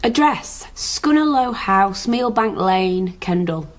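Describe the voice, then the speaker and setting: Dry, flippant British woman, mid-pitched, brusque and blunt, radio drama sister. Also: animated, slow pace. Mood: dry, businesslike. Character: sardonic, blunt, pregnant younger sister, an investigator. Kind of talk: naturalistic radio drama dialogue.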